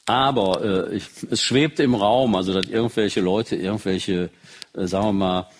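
A man with a husky voice speaks off the cuff in an interview, halting and searching for words, in blunt terms. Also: fairly low pitch, a little hiss or hum. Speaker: interviewed musician. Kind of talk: spontaneous interview talk.

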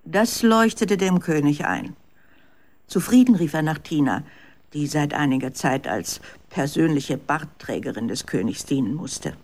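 A woman's voice: deep voice, lively intonation, a little slow, darkish tone. A woman narrator reading scripted storytelling for a children's radio play.

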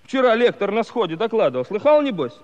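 A brash young Cossack lad with a high, needling voice. He puts on pompous, learned airs to mock people in stage musical comedy manner. The old recording is dull and swims in long reverb. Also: big pitch swings, fast. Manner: mocking, pompous mock-elegant talk. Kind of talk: theatrical musical comedy acting.

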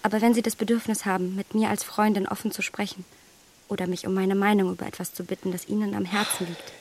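A woman's voice: medium pitch, flat delivery, fast talker, a little mellow, natural voice. An actress playing a well-bred, impulsive young English lady in a German radio play, polite on the surface and privately flustered. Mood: apologetic, sincere. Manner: polite, breathy asides to herself.

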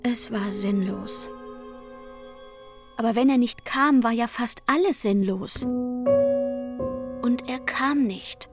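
Aggrieved young barmaid over music. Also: female voice, fairly high pitch, slow, long pauses. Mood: yearning, despairing. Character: young barmaid, aggrieved, yearning, bitterly jealous of a rival. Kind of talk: literary radio drama monologue. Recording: music playing behind the voice.

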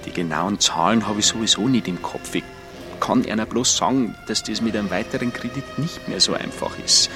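A man's voice: on the low side, a little fast, lightly acted. An actor playing an evasive, officious male bank clerk, placating and bureaucratic, in a radio drama. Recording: music behind the voice.

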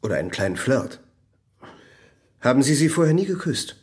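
An actor playing a persistent, inquisitive examining magistrate in a radio drama. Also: male voice, medium pitch, big pitch swings, normal speed, a little mellow, close to an everyday voice. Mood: probing. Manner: inquisitive, pressing. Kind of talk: German radio drama acting.

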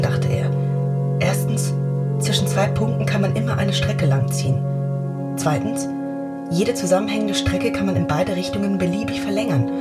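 An actress playing an agitated, defiant writer in a German radio drama, speaking with halting, emphatic insistence. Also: female voice, mid-range voice, natural voice. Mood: absorbed, explaining. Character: agitated, defiant woman writer lecturing intensely on mathematics. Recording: music behind the voice.

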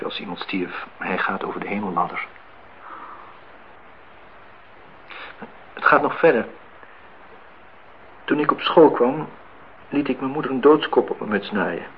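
An actor playing a brooding, insistent, melancholy engineer in a radio play. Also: male voice, on the low side, natural voice. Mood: wistful reminiscence. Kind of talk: radio play dialogue and monologue. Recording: muffled, noisy old radio-play recording.

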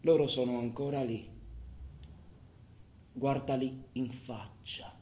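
A man playing a cocky, menacing robber who taunts his partner in crime in a theatrical monologue, swinging between loud bragging and quiet menace. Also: medium pitch, fairly even intonation, slow, lightly acted. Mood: grave, accusing. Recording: echoey room, faint background noise.

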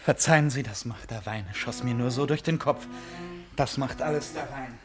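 A man's voice: medium pitch, moderate pace, over music, natural voice. An actor in a German radio drama playing an erratic, boastful, needling man who swings between confiding and agitation. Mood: needling, tipsy.

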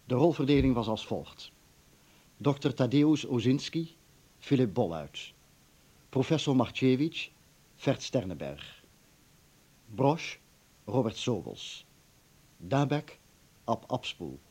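A male radio announcer reads formal credits. His voice is mellow and husky, measured in its delivery. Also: medium pitch, very animated. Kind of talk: formal scripted cast credits.

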